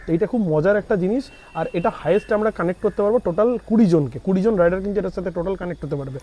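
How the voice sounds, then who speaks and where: A man's voice: high-pitched, rapid-fire, muffled recording. A man giving a casual product review as a vlogger, explaining things straight to viewers and mixing in English words. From India. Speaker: vlogger, host.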